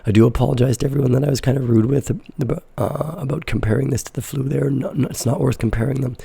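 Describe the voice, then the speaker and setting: A man in his sixties, hoarse and deeply gravelly, with a husky, worn-out rasp. He chats live with viewers in a blunt, fiery, swearing manner. His tone is dark and mellow, and the sound is dry and close. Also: steady tone, quick pace, dry recording. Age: 60s. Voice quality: raspy. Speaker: host of a live crypto trading show. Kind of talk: live-stream chat answering viewer questions.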